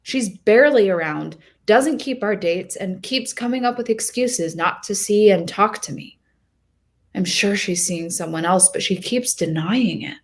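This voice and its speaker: A woman narrating a short story in the first person as a lovesick, insecure young woman, earnest and expressive. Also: mid-range voice, measured pace, lightly acted. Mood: frustrated, hurt.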